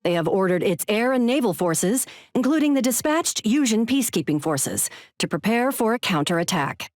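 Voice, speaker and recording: An actress playing a grave, composed TV news anchor, reading breaking news in a formal, measured, urgent manner. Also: female voice, mid-range voice, very animated, darkish tone, no echo, clean studio sound, natural voice.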